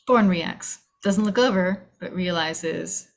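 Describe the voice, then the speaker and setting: A woman's voice: lowish voice, slow pace, gravelly. A woman reading stage directions as dramatic screenplay narration, in clipped, punchy phrases.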